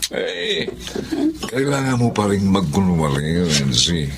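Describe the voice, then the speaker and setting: A man's voice: sits low, wildly swooping pitch, slow pace, vocal fry, heavy background noise, full character voice. A Filipino man acting a menacing, two-timing young husband in a radio drama. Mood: scheming.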